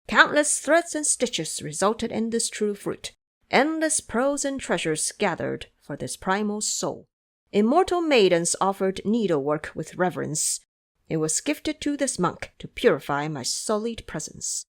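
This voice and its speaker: A woman's voice: medium pitch, sing-song, a bit bright. Woman playing a humble, pious monk. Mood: measured, reciting. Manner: courteous, measured, preachy.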